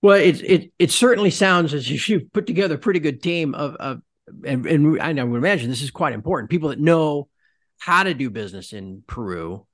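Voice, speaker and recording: Rambling podcast host. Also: male voice, fairly high pitch, animated, fairly quick. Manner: rambling, conversational questions. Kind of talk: podcast interview.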